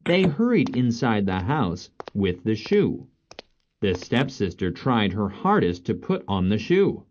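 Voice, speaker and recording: A woman's voice: rumbling low, big pitch swings, measured pace, a little mellow. A woman narrating a scripted children's story as the storyteller. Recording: dull lo-fi audio, some noise.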